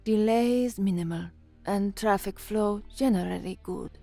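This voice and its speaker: A young woman newsreader in an audio drama. She is anxious underneath and works at sounding composed, with a mid-pitched voice. Music plays along behind her. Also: unhurried, vocal fry, mellow. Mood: formal, composed. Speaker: radio newsreader and narrator. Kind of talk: audio drama dialogue, narration and formal newsreading.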